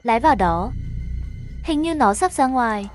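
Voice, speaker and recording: A woman's voice: fairly high pitch, lively intonation, normal speed, faint music behind. A woman reading a scripted horror story, narrating and speaking all the characters' dialogue herself.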